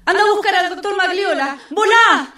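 Desperate high-pitched mother, heavy distant echo. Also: moderate pace, pushed and bright. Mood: desperate, urgent. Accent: Buenos Aires Argentine. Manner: urgent, pleading, repeating commands. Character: desperate crying mother urging her young daughter to run. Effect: heavy echo of a memory flashback. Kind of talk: radio drama flashback. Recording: heavily echoing, distant, noisy flashback voice.

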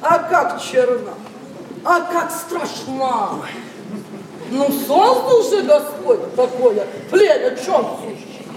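A woman's voice: sits high, very animated, full character voice. An actress playing a blunt, grumbling, haughty noblewoman of sixty-five in a classic verse stage comedy. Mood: weary grumbling. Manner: haughty, theatrical, drawn-out exclamations. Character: blunt, grumbling, imperious 65-year-old Moscow noblewoman. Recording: echoey noisy hall, distant microphone.

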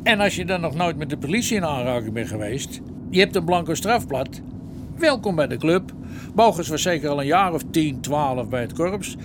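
A down-to-earth male detective, played by an actor with a slightly high voice. He sounds informal, wry and matter-of-fact, in the natural style of a radio drama. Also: lively intonation, normal speed, noisy background, lightly acted. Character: easygoing, down-to-earth police detective, junior to the inspector.

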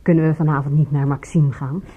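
An actress playing a playful, loyal companion of a detective in a Dutch radio drama, with a soft, husky voice. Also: female voice, deep voice, fairly even intonation, a little fast. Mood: playful, coaxing. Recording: dull, muffled radio recording.

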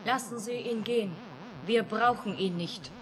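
A woman's voice: fairly high pitch, a little slow, natural voice. An actress playing an emotionless artificial young woman in a German radio drama, asking literal questions and making detached statements. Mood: cool, matter-of-fact.

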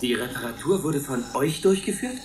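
An actor playing a pompous, strict warden of a space oasis in a radio play, talking in an officious, patronizing manner. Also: male voice, mid-range voice, expressive, moderate pace, roomy sound, noisy background, natural voice. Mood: indignant. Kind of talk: German radio play acting.

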